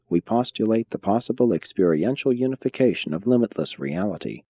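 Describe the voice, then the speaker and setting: Guy narrating a serious audiobook, husky voice, really varied intonation, measured delivery, kinda muffled lo-fi sound. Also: fairly low pitch, dark tone. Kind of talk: scripted audiobook narration of philosophical religious text.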